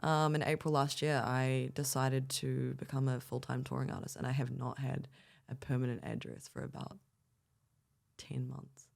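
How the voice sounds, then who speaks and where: A young woman from New Zealand with a New Zealand accent, chatting as a guest musician in a relaxed way, with frequent ums and easy laughs. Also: very deep voice, normal speed, creaky. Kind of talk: casual interview chat.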